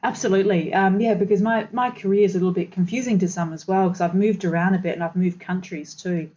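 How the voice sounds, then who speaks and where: A woman's voice: mid-range voice, steady tone, quick pace, warm tone, dull audio. An Australian woman, a consultant guest on a podcast, telling her career story fluently and with enthusiasm. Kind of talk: podcast interview.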